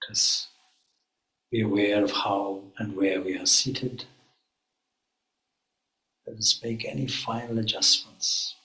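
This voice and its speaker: A man with a fairly low voice leads a guided meditation in an echoey room. He speaks very slowly and calmly, setting out short, measured phrases with pauses between them. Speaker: swami, guided meditation leader.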